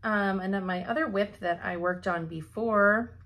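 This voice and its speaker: A young woman giving a chatty cross-stitch update from her home craft room, her voice slightly hoarse, laughing often as she thinks aloud. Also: medium pitch, normal speed, fairly bright tone. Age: young adult. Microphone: camera in front at desk.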